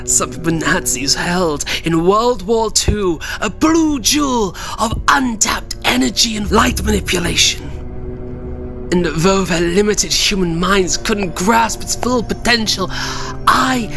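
An actor playing a mad invisible scientist in a horror audio drama, gloating, taunting and grandiose. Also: male voice, high voice, animated, unhurried, gravelly, exaggerated voice. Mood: gloating, menacing. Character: mad invisible male scientist turned gloating, menacing predator. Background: music.

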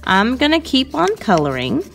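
Woman art teacher, fairly high voice, unhurried and patient with kids, faint music underneath. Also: huge pitch swings. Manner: slow, patient and clearly instructing children. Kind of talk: step-by-step classroom art lesson voice-over.

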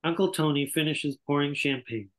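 A man's voice: a little high, normal speed. Older man reading stage directions. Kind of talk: scripted narration at a table read. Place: indoor room on a video call. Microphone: video call microphone.

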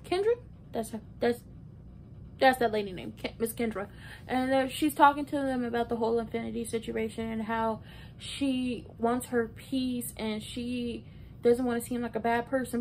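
A woman in her early twenties giving casual, opinionated and emphatic recap commentary, talking conversationally like a video blogger. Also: darkish tone. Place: small bedroom, indoors. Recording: noisy room with low rumble.

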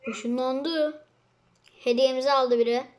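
A young girl chatting excitedly and casually as she hosts and commentates on a video game. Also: sits high, measured pace. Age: child. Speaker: host of a gaming channel.

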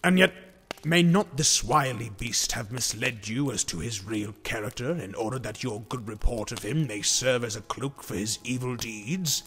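An actor playing a courteous, earnest knightly saint in an audiobook drama, with formal, theatrical, archaic phrasing. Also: male voice, medium pitch, moderate pace, fairly bright tone, lightly acted. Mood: troubled, reasoning. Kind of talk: amateur audiobook drama acting. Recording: long hall reverb, faint music.

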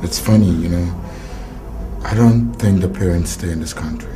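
An actor playing a young doctor in a film drama, accusing and shouting in heated argument. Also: male voice, low-pitched, normal speed, close to an everyday voice. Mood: worried. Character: angry young male doctor. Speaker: doctor character. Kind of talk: Nollywood film acting. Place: indoor room, film set. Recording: film soundtrack with loud music.

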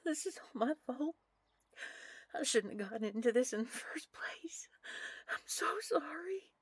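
A woman playing a desperate, guilt-ridden but protective captive wife in an audio drama, pleading and halting. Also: high voice, lively intonation, breathy edge, no echo, heavily acted. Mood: guilty, frightened. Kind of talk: audio roleplay acting.

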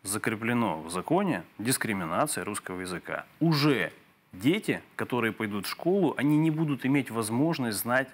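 A man's voice: medium pitch, lively intonation, a little fast. A man in his thirties from Kyiv, hosting a TV studio interview and pressing his guest with pointed questions on a lapel mic. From Kyiv, Ukraine. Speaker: TV host.

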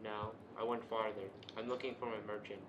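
A man's voice: on the low side, level tone, fairly quick, fairly bright tone. A man playing a video game, chatting casually and jokingly talking to the characters in it. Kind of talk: casual co-op gameplay chat. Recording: roomy echo, background noise.

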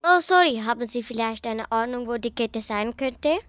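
A woman's voice: a little high, lively intonation, moderate pace, fairly bright tone, smooth, no room sound. A young woman acting an eager, earnest girl detective in an amateur radio play. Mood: determined.

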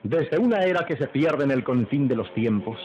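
A man's voice: mid-range voice, animated, normal speed, lightly acted. A man performing as the solemn, grandiloquent narrator of an old melodramatic radio serial. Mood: grand, solemn. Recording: dull old radio recording, loud music.

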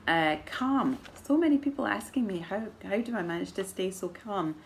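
A woman in her forties with a soft Edinburgh Scottish accent, chatting to camera at home and answering viewer questions in a thoughtful, measured way with gentle laughs. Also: mid-range voice, lively intonation, gravelly, slight background noise. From Scotland. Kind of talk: casual chat to camera. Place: living room at home, indoors. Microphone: camera in front at arm's length.

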